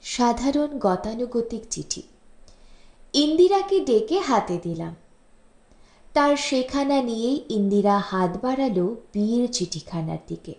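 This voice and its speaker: A woman reading a literary short story as an audiobook narrator, in a measured storytelling manner. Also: on the high side, animated, a little mellow. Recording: echoey room, music bed underneath. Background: background music.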